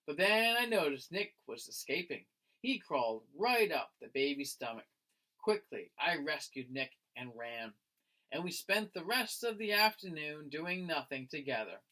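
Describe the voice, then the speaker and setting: A man reads a picture book aloud in the expressive manner of a teacher with young listeners. His voice sits a little high and swings widely in pitch. He takes his time, with a slow, friendly delivery. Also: poor audio. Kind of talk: animated children's read-aloud.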